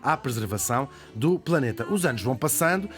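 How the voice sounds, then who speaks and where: Brief: Portuguese male radio columnist, quick and animated, light music under the studio talk. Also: medium pitch. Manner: animated, laughing storyteller, quick asides. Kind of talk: lively radio biography chat.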